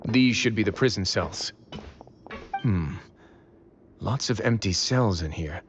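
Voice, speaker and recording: Self-assured commanding fighter, lowish pitch, measured, composed, video game dialogue. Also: male voice, highs cut off, a little hiss or hum. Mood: calm, focused. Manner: calm, measured, matter-of-fact. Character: composed, self-assured man in authority, cool-headed fighter.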